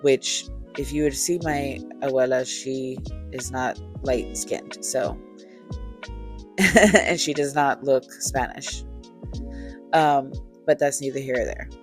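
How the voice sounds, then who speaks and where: A woman in her thirties chatting casually and relaxed, with easy fillers and light laughter, telling a storytime while beading. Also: a bass voice, slow pace. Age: 30s. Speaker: crafter and vlogger. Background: faint chill music.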